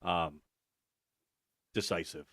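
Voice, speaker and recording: A man running a tabletop game as game master, narrating in a relaxed, unhurried way with fillers. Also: deep voice, no echo, studio quality.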